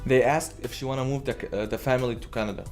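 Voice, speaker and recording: A man's voice: mid-range voice, fairly even intonation, rapid-fire. Young Syrian man telling his story. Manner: simple, earnest phrasing with small slips. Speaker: refugee interviewee. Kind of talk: personal testimony. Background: soft music.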